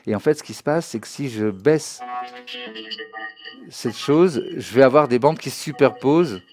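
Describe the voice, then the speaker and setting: A man giving an informal spoken software tutorial in a didactic way, often checking that the listener follows. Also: mid-range voice, expressive, moderate pace. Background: synthesizer and music demo sounds.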